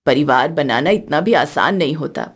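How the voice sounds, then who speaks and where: A woman's voice: deep voice, expressive, moderate pace, breathy edge, pitched below the performer's own voice. A woman voicing a stern, weary older mother-in-law in a cartoon story, firm and admonishing. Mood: angry, firm. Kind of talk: Hindi cartoon story acting.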